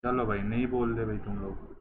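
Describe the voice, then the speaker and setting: A young man, speaking softly as he teaches a live online physics class. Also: on the low side, steady tone, fairly quick. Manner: softly spoken, addresses students as bhai and kids. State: sore throat, speaking softly. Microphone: clip-on mic, webcam framing. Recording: tinny lo-fi line, faint hiss.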